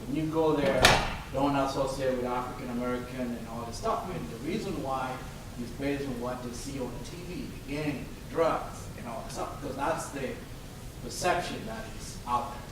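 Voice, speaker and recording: A professor from Tanzania thinks aloud, reworking his phrases as he goes. He is recorded from a distance in a very echoey room. A clear low rumble sits underneath. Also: normal speed. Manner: thoughtful, rephrases as he goes. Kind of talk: sharing a personal story.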